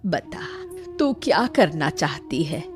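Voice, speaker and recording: A woman playing a caring, practical old village aunt in a Hindi animated moral story, speaking in a measured, plain way. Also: low voice, soft background music, heavily acted.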